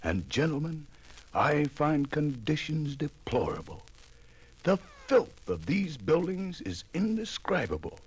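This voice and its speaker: Earnest, husky reforming minister. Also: male voice, on the high side, sing-song, unhurried, heavily acted, poor audio. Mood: indignant. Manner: formal, emphatic oratory. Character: earnest reforming minister delivering a grave report. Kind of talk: 1950s radio drama acting.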